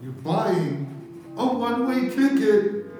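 A man's voice: sits high, very animated, slow pace, dark tone, faint music behind, voice pushed up, full character voice. Mad evil doctor salesman, played by a man, pushy and zany, improv comedy, very echoey. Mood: scheming, pushy. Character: zany evil doctor selling one-way trips off the world. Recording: echoey, distant video-call workshop recording.

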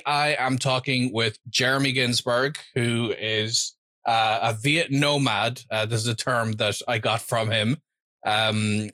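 A man's voice: mid-range voice, pushed and bright, no echo. A man hosting a podcast who welcomes listeners, introduces his guest and asks friendly, open questions about his life. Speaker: podcast host. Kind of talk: podcast interview.

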